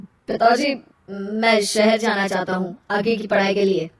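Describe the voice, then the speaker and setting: A woman's voice: medium pitch, fairly even intonation, unhurried, close to an everyday voice. Woman acting a stubborn young man, echoey. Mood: determined. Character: self-centred, stubborn young man, a spoiled rich son. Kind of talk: animated moral story dialogue. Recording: roomy echo, distant from mic.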